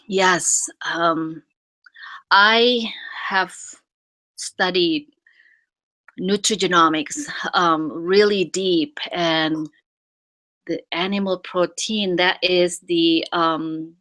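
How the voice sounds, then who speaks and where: Bright-voiced woman giving halting advice. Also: on the low side, fairly even intonation. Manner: halting, many fillers and restarts. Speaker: fertility expert guest. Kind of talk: casual expert advice.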